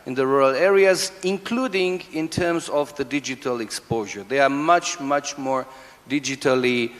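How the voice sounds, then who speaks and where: A man's voice: mid-range voice, moderate pace. A man speaking English with a Romanian accent, telling a self-deprecating story as a conference panelist. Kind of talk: panel discussion anecdote. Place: large conference hall. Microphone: table microphone. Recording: reverberant conference hall.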